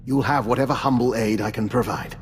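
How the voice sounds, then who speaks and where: An actor playing an urbane, calculating old doctor and veteran spy in a video game, with a refined, courteous, understated manner. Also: male voice, medium pitch, steady tone, a little fast, in a large hall, slight background noise, lightly acted. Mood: unconcerned, wry. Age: older. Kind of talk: video game dialogue acting.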